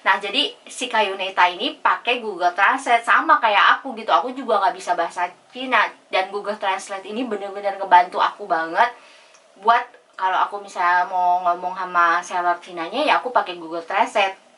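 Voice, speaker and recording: Female vlog host, chatty and informal, bright forward voice, recorded a little away from the mic. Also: medium pitch, a little fast, recorded from a distance. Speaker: YouTuber host. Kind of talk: casual vlog explainer, reading out chat messages.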